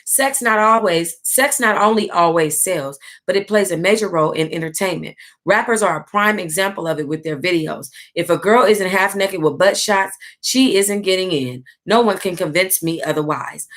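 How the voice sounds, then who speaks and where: A woman reading a book aloud in an informal, expressive way, like a host sharing it with her book club. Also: lowish voice, normal speed, hardly any pauses. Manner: expressive, informal, breaks into amused asides. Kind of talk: reading aloud with casual reactions.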